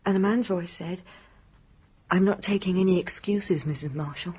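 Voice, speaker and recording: A woman playing a bitter, contemptuous married hotel guest in a radio mystery, husky and tightly controlled. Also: fairly low pitch, normal speed, darkish tone. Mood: tense recounting. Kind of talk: radio mystery drama acting.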